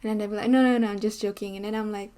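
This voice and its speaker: A woman in her twenties with a Malaysian accent, chatting casually to camera in an animated way, full of "like" and "you know". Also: mid-range voice, very fast, clear, resonant tone. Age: 20s. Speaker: vlogger. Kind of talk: casual talking-head vlog. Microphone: camera mic, seated on a chair.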